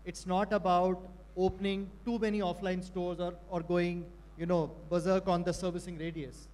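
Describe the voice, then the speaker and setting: A man's voice: high voice, flat delivery, moderate pace, darkish tone. A man on a conference panel, speaking in a direct, practical way. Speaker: panelist, retail executive. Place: large conference hall stage. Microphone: handheld mic.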